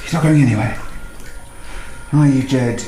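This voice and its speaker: A man playing a calm, controlling British murderer in a radio thriller, with coaxing menace. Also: mid-range voice, normal speed, natural voice. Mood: quietly menacing. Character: cold, controlling male lover calmly staging a murder. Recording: echoey room with faint noise.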